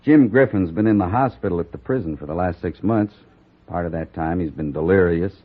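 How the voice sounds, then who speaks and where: A man's voice: low voice, fairly quick, husky. A man playing a hearty, earnest army colonel in an old-time western radio drama, businesslike and persuasive. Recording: muffled old radio recording.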